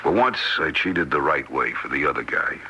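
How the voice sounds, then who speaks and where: An actor playing a gruff, needling middle-aged card cheat with streetwise banter in a radio drama. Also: male voice, rumbling low, expressive, normal speed, pushed and bright, exaggerated voice. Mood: subdued, confessional. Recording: noisy old radio drama recording.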